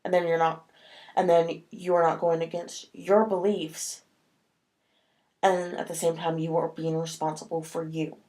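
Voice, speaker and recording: A woman's voice: on the low side, level tone, measured pace, mellow. Sniffly woman rambling in a vlog. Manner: rambling, lots of fillers like "like" and "um". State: sniffly with a cold. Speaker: vlogger. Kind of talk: casual opinion vlog.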